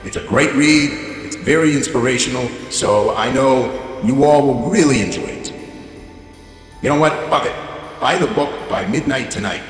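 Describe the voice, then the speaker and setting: A man's voice: medium pitch, normal speed, echoey room, long reverb tail, faint music behind, close to an everyday voice. An actor playing a greedy, foul-mouthed male corporate CEO giving a bombastic holiday speech in an audio drama. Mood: salesy. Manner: bombastic, profane, dismissive. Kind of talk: audio drama comedy monologue.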